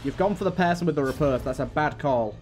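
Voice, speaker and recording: A man with a fairly high voice commentates casually on a game as he plays. He speaks to the characters directly and repeats himself, with music playing underneath. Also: fast talker, a little mellow. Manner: talks to the game's characters, repeats phrases. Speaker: gaming host. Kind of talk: casual let's play commentary.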